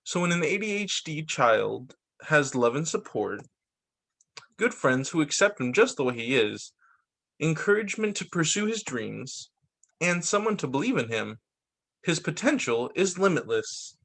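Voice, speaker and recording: A man reads aloud as a teacher at children's story time, in a fairly high-pitched voice with very lively, swooping intonation. He delivers the text expressively and slips in brief conversational asides to his young listeners. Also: measured pace, creaky. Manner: expressive reading with short spoken asides. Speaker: teacher reading picture books to pupils.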